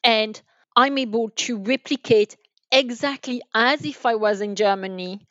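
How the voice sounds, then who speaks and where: A woman's voice: a little high, unhurried, fairly bright tone, dry recording, dull audio. A woman speaking as an interview guest, explaining from experience in a wordy, emphatic way with repeated restarts. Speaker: guest, enterprise sales manager.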